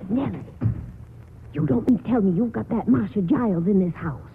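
An actress playing a spiteful, self-righteous meddling busybody in a 1940s radio drama, scolding and sharp-tongued. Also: female voice, medium pitch, animated, fairly quick, vocal fry, a little hiss or hum. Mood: disapproving. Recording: muffled, tinny old radio broadcast.

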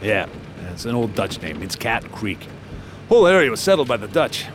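A wisecracking old-time trooper detective who laughs through his own tall tales, his voice lively and springing up and down. A car engine rumbles steadily behind him in this radio play. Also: moderate pace, background noise. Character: boastful wisecracking male state trooper detective. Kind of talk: radio drama acting.

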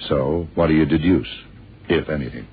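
A man playing a shrewd, world-weary detective in a radio drama, deliberate and slangy as he thinks aloud. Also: rumbling low, slightly rough, full character voice. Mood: probing. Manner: deliberate, musing, terse and slangy. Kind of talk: 1960s-70s radio drama acting. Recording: muffled, tinny old radio recording.

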